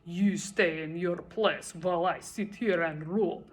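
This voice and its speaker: A woman's voice: lowish voice, a little slow. A woman voicing a pompous, tyrannical male turtle king in a storybook read-aloud, bellowing and boasting imperiously. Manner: bellowing, imperious, self-admiring.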